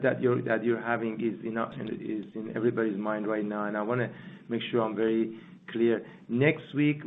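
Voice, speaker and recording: A man speaking as a chief executive on an investor call, answering off the cuff in a halting, repetitive way. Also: mid-range voice, slight background noise. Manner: halting, repeating himself. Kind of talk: unscripted conference call Q&A. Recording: muffled narrowband phone line.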